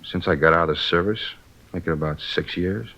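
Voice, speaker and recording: Casting: rueful hungover male salesman, low voice, slangy and groggy, 1950s radio drama, dull lo-fi sound. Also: brisk, pushed and bright, exaggerated voice. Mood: uneasy. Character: hungover man, sheepish salesman and war veteran under police questioning. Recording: dull lo-fi old radio recording.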